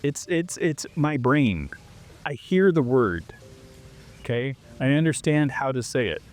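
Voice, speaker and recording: Man, mid-pitched, animated guest telling stories in a casual interview. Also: unhurried. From Canada. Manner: animated storyteller who acts out quoted exchanges. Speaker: interview guest, foreigner living abroad.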